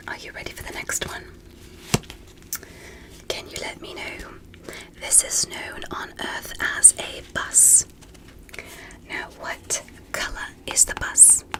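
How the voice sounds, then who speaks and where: A woman playing a gentle, curious alien doctor in a whispered ASMR medical roleplay, speaking softly and breathily with careful, reassuring, formal phrasing. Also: close to an everyday voice, low-quality recording.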